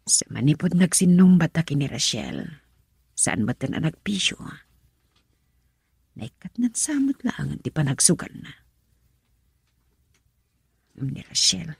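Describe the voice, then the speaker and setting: An anxious, suspicious wife in a radio drama. She has an Ilocano accent and a very husky, breathy voice with creak, swinging widely in pitch. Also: animated, slow, long pauses, darkish tone, no room sound, studio quality, exaggerated voice. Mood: worried musing. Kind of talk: Filipino radio drama acting.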